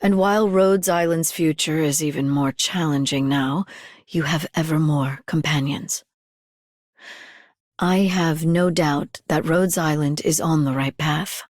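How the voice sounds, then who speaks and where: A composed, serious female veteran from a video game. Her voice is low and resolute, captured bone-dry. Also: a little slow, a little mellow, dry recording. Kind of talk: scripted game character voice line.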